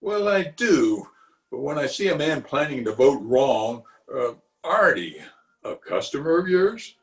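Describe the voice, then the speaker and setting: A man's voice: medium pitch, big pitch swings, unhurried, roomy sound. A man playing a pompous, easily exasperated middle-aged official in an old-time radio comedy, recorded at home over a video call. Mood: pushy. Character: blustery, exasperated middle-aged male water commissioner, pompous and opinionated.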